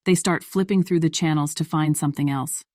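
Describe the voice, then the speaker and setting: A woman's voice: low voice, steady tone, a little fast, a little mellow, no echo, clean studio sound. A woman narrator reading scripted story narration for an audio drama.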